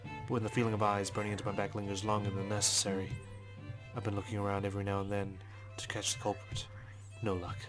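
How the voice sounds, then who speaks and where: A man reading visual novel text aloud as a let's player, in a measured, understated storytelling manner with brief asides. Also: fairly low pitch, completely flat delivery. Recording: music behind the voice. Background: game music.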